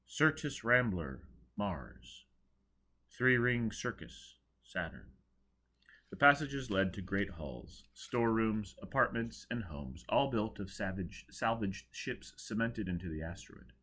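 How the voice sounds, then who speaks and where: A man reading an audiobook, narrating dramatically with a theatrical, expressive delivery. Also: on the low side, takes it slowly. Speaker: audiobook reader.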